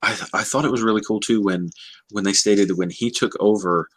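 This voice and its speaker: A man talking casually in a book discussion, often halting and searching for the right words. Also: on the low side, steady tone, slightly rough, no room sound.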